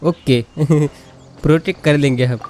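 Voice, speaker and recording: A woman's voice: low voice, very fast, darkish tone. A woman with an Indian accent, a native Hindi speaker, doing casual, chatty gameplay commentary as a gaming YouTuber. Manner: chatty, laughs often, reacts to dialogue. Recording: voice over faint game music.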